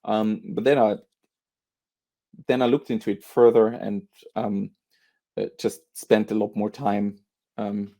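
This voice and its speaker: A man from Austria, answering interview questions as a beer author and historian, pausing often with fillers and repeated words. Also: on the low side, level tone, creaky, mellow.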